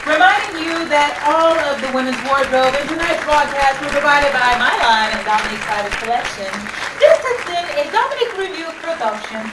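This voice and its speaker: A woman's voice: high-pitched, lively intonation, brisk, hardly any pauses, over a noisy crowd. A woman performing in and directing a radio play troupe. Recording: echoey room, distant mic, hissy noise.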